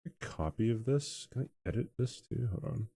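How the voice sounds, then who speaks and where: A man's voice: mid-range voice, breathy edge. A young man streaming a game who chats casually with his audience, often chuckling and stopping to restart his sentences. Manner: chuckling, halting and relaxed.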